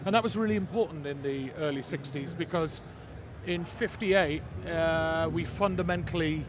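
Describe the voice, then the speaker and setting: A man's voice: fairly high pitch, normal speed. A man with an English accent answering interview questions at a trade show, stopping and restarting often. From England. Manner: hesitant, many repeated starts. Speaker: speaker company representative. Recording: noisy trade show floor.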